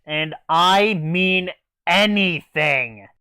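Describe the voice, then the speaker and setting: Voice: mid-range voice, drawn-out words, pushed and bright, clean tone, dry recording, studio quality. A reviewer hosting a scripted comic review, playing the exasperated straight man. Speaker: host, anime reviewer.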